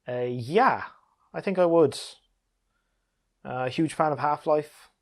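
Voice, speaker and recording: A man's voice: fairly high pitch, slow. A man hosting a casual question-and-answer video about games, talking in an easygoing, conversational way. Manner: easygoing, conversational, chuckles often. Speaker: YouTube gaming video creator and host.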